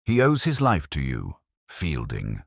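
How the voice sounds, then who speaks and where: A man voicing a cool, detached Victorian detective in an audiobook, speaking formally and quietly in aphorisms. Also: very deep voice, wildly swooping pitch, unhurried, vocal fry, breathy edge, no echo, studio quality, full character voice. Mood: cool, dismissive. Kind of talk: audiobook character dialogue.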